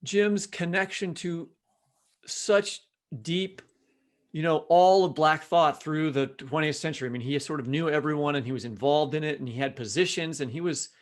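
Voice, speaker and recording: Higher-voiced man hosting a podcast. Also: normal speed. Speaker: podcast co-host. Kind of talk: conversational interviewing, reading passages aloud.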